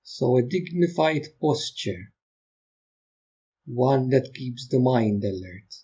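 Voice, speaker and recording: A man guides a meditation in a dark, relaxed voice with a slightly breathy grain. He speaks very slowly and gently, leaving calm pauses between short instructions. The recording sounds a little dull. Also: mid-range voice. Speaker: meditation teacher.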